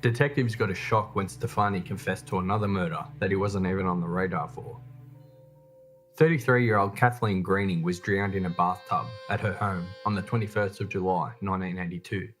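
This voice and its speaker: Low-pitched man narrating true crime. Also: steady tone, fairly quick, fairly bright tone, soft background music.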